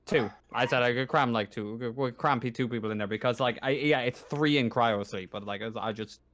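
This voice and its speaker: A man's voice: medium pitch, brisk, fairly bright tone. A man acting as game master in a casual tabletop roleplaying chat, informal and joking, with some swearing.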